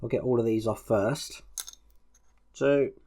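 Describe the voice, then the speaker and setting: Male, medium pitch. Informal hobby tutorial presenter, explaining each hands-on step while working. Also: steady tone, slow, dark tone. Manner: informal, narrating actions as he works. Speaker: presenter of a model-building tutorial. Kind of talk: hands-on step-by-step instructional commentary.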